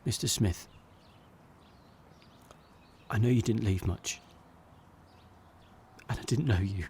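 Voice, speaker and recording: An actor playing a regretful, sincere jobbing actor, narrating an audio drama in a halting, plain-spoken way. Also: male voice, on the low side, darkish tone, a little hiss or hum. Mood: solemn, halting. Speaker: actor narrating as lead character.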